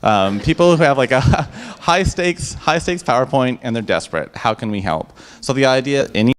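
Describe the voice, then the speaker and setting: A man pitching his startup to a live audience and then answering their questions on a handheld mic. He talks with upbeat enthusiasm and often restarts his sentences. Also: lively intonation, normal speed. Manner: enthusiastic, upbeat salesman patter with frequent restarts. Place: event room before a live audience. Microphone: handheld microphone.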